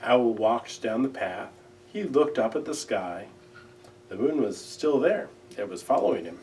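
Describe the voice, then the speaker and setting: A man reading a children's storybook aloud in a gentle, measured, expressive way. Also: mid-range voice, far from the mic, a little hiss or hum. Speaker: library director reading aloud.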